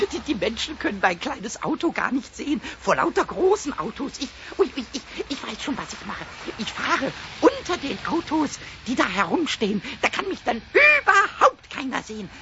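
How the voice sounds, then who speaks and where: A tiny, cheeky kobold with an extremely high, squeaky voice that leaps all over. He stammers and repeats himself in childish excitement. The old radio play recording is muffled and noisy. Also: normal speed, hardly any pauses, exaggerated voice. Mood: gleeful, scheming. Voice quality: squeaky, shrill.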